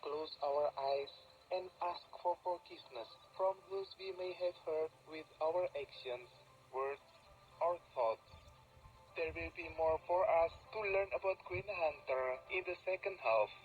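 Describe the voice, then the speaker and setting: Male program host, measured scripted narration, slightly high pitch, dull radio reception. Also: slight background noise. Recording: dull, band-limited shortwave radio capture.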